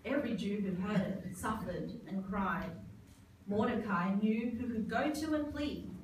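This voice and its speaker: A woman's voice: mid-range voice, a little slow. A woman narrator telling a rhymed, scripted story for an amateur puppet show. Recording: very echoey noisy hall, distant mic.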